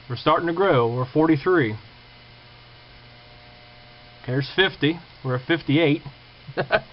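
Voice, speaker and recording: Folksy man in a muffled, humming recording. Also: fairly high pitch. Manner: plain-spoken, folksy, informal. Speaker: hobbyist repair vlogger. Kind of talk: casual unscripted show-and-tell to viewers. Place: indoors at a home workbench. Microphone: handheld camera's built-in mic. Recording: muffled lo-fi camcorder sound, clear hum.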